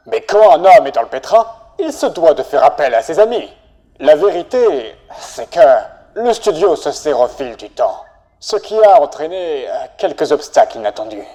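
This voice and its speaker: A man's voice: medium pitch, mellow, close to an everyday voice. A man playing a troubled studio boss who hesitantly confides in a friend, in a dramatic cartoon fandub. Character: reluctant, troubled studio boss humbly asking a friend for help.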